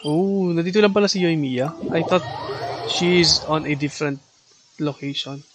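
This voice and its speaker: A Filipino-accented streamer chats casually while playing. The native Filipino speaker gives loose live commentary, with game music clearly audible behind. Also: medium pitch, normal speed. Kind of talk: casual livestream gameplay commentary. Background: video game music.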